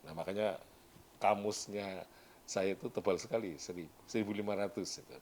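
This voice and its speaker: Man, very deep voice, explaining things in an interview with restarts, faint background hum. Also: fairly even intonation, fairly quick, darkish tone. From Banyumas region, Central Java. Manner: thinking aloud, many restarts and tag words. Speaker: language scholar and dictionary compiler. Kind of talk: documentary interview.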